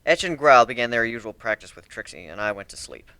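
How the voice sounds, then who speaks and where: A man narrates a fantasy story in the first person, reading it dramatically. His voice is bright and mid-range, and the sound is very dry. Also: mid-range voice, expressive, fast talker, no echo.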